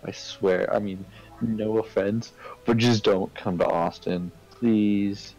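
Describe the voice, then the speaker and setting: A man talks casually in a gravelly, mellow voice over soft background music. He rambles through explanations in the loose manner of a livestream chat. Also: lowish voice, steady tone, takes it slowly, dull audio. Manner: rambling, explains with hypotheticals and tag questions. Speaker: game streamer.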